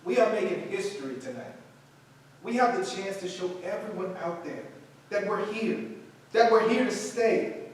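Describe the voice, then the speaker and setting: A man's voice: high voice, normal speed, natural voice. A man in a staged play reading playing an earnest theatre director who rallies and reassures his cast. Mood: rousing. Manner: rousing, reassuring. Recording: distant, very echoey room, faint noise.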